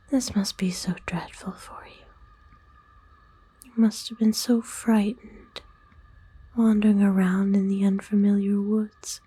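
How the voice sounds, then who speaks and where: A woman voicing a kind, nurturing forest witch in a soothing, folksy, unhurried breathy whisper for an ASMR roleplay. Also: mid-range voice, mellow. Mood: soothing, sympathetic. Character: kind, nurturing forest witch, playful and gently old-fashioned. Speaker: ASMR voice actor.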